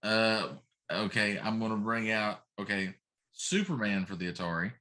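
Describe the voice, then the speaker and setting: A middle-aged man with a fairly low voice chats casually as a show co-host. He is emphatic and likes to exaggerate his complaints for comic effect. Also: moderate pace, pushed and bright. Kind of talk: casual chat.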